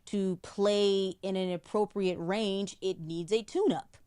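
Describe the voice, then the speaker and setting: A middle-aged woman, a psychic guest in an interview, explaining in a measured way and often repeating a word while she finds the next. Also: mid-range voice, fairly even intonation, dark tone, smooth. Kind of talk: spiritual interview talk.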